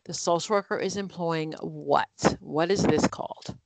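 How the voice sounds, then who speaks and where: A woman's voice: deep voice, unhurried, vocal fry, no echo, poor audio. Woman tutoring a study group. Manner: conversational, explains with everyday examples. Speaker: exam prep instructor. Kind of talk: online study group teaching. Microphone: video call.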